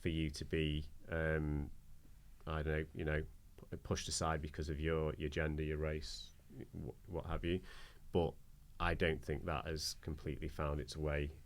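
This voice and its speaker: A man speaking as a guest in a casual discussion, hesitant and halting, often restarting his sentences. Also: very deep voice, flat delivery. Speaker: panel guest.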